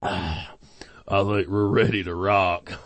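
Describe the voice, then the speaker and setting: A man's voice: low voice, level tone, takes it slowly, background noise. A man playing a laid-back, bumbling, easily distracted technician in a comedic audio drama, rambling casually.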